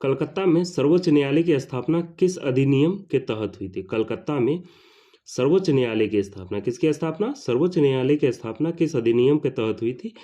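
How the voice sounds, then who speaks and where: A man's voice: medium pitch, fairly quick, dark tone. A man teaching an exam question-and-answer lesson, repeating questions and answers for emphasis. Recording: slightly dull lo-fi sound.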